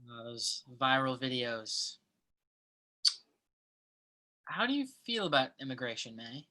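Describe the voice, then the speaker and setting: A woman plays an earnest college student in a naturalistic play reading. The voice is low for a woman and clearly bright. The delivery is thoughtful and measured, with small hesitations as the student reasons aloud. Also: very animated, full character voice. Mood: earnest, persuasive.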